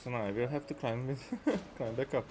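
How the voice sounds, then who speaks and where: A man's voice: mid-range voice, sing-song, brisk, music in the background. A man giving casual let's play commentary on a game, muttering to himself and exclaiming as he goes. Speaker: gamer recording a playthrough.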